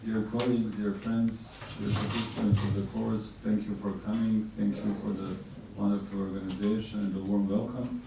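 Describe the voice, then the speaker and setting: A man from Bosnia and Herzegovina, a neurosurgeon giving a measured course lecture with many fillers and restarts. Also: fairly low pitch, level tone, creaky. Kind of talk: conference lecture. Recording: distant mic in an echoey hall with faint noise.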